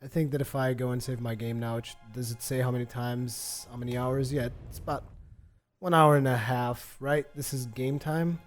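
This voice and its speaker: A man casually reviewing a video game for his channel, relaxed and rambling, with lots of fillers and restarts. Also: mid-range voice, normal speed, faint music behind. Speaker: gaming video host.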